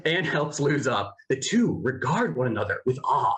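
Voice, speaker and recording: A narrator reading scripted stage directions for an animated cartoon table read. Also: mid-range voice, normal speed.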